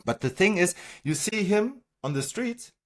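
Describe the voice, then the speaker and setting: A man's voice: sits high, expressive, a little slow. A man with a German accent, a native German speaker, talking as a fitness coach in an informal explainer, often checking in with "okay?" or "right?". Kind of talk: informal explainer talk with slides.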